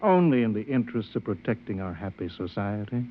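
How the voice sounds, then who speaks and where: An actor playing a smooth, sanctimonious political boss and blackmailer in a 1950s radio drama, pompous and insinuating with a false friendliness. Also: male voice, lowish voice, very animated, fairly quick. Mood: menacing, persuasive. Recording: muffled, hissy old radio broadcast.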